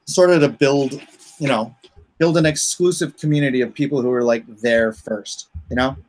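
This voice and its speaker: A man's voice: medium pitch, moderate pace, poor audio. A man hosting a casual livestream as a comic creator, rambling and thinking aloud as he talks through his campaign.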